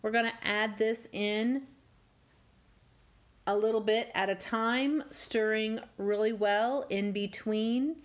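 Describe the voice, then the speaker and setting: A woman hosting a home cooking channel, walking through a cake recipe step by step with gently wry asides. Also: a little high, fairly even intonation, slow. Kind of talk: calm step-by-step baking instruction. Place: home kitchen.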